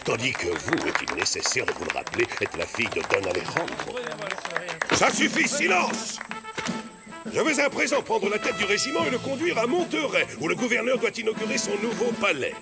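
An actor playing a pompous, scheming, tyrannical military commandant in a cartoon, grandiose and theatrical. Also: male voice, high-pitched, sing-song, brisk, over loud music. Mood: suave, condescending, then furious, imperious. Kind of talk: animated cartoon dubbing.

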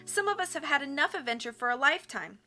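A woman voicing a timid, high anxious islander woman. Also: sing-song, quick pace, sharp tone, soft background music, full character voice. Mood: pleading. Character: timid woman islander craving simple safety.

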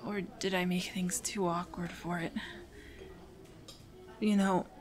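A woman's voice: on the low side, little pitch movement, slow pace. A woman playing a shy, nervous, lovestruck teenage anime boy in an ASMR roleplay, speaking hesitantly with stammers and mumbles. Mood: anxious, awkward.

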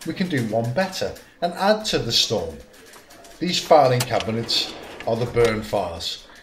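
A sardonic senior official played by a man hands out orders with dry scorn. His voice sits at a middling pitch and rings in a roomy, echoing space. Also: sing-song, measured pace. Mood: commanding. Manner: commanding, sardonic. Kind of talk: radio comedy acting. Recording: roomy echo.

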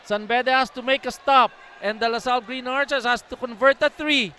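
A man's voice: very high-pitched, fairly even intonation, brisk, sharp tone. A man, a coach, giving color commentary beside the play-by-play caller, analysing plays and echoing his partner. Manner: analytical, echoes and agrees with partner. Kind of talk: live basketball commentary. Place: courtside booth in a packed arena. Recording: noisy arena broadcast.

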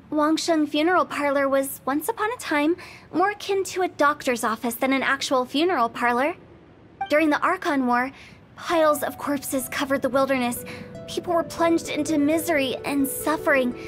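A woman's voice: high-pitched, normal speed, a bit bright, faint music behind. A woman playing a playful, theatrical young funeral director in a video game. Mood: solemn storytelling.

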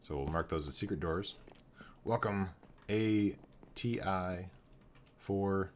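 Young male streamer, very low voice turning hoarse, casual think-aloud commentary at a desk mic, light keyboard noise. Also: takes it slowly. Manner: thinking aloud while building, greeting chat viewers. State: losing his voice. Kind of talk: live gameplay commentary.

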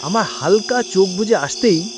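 A man tells a first-person ghost tale for an animated video, and his voice moves up and down a lot as he narrates. Loud music fills the background, with crickets chirping beneath it. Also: sits high, moderate pace. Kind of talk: spoken horror story narration for a cartoon.

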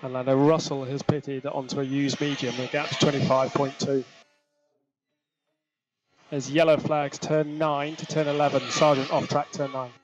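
A man working as a race engineer, giving measured, clipped, often repeated instructions over team radio. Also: mid-range voice, flat delivery, a crowd in the background. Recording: noisy team radio link with clicks.

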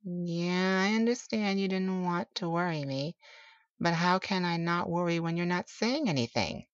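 A woman playing a tender, teasing boyfriend who soothes a sleepless lover in an intimate, slow ASMR roleplay. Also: lowish voice, natural voice. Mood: soothing, reassuring. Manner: soothing, affectionate, playful. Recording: roomy, slightly dull home recording.

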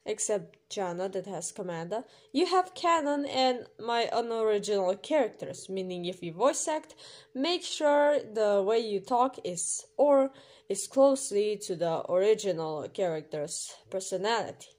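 A woman's voice: medium pitch, expressive, a little slow, darkish tone. A woman reading an informal explainer voice-over in an emphatic, instructional way, as a creator running an audition. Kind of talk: informal scripted explainer voice-over with numbered rules.

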